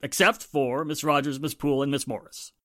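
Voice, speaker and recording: An actor playing a tough, authoritative police inspector in a 1940s-style radio drama, gruff and commanding. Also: male voice, on the high side, steady tone, a little fast, fairly bright tone, close to an everyday voice. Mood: stern.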